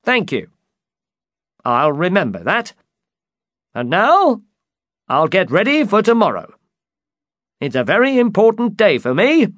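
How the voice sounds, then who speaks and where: Male narrator's old would-be knight, storybook, high, swooping pitch, pompous, earnest, eager. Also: sing-song, unhurried, long pauses, fairly bright tone, dry recording, studio quality, full character voice. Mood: grateful, eager. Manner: pompous, earnest, chivalrous declamation. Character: eager, grandiose old man who believes he's a knight. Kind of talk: dramatized storybook reading.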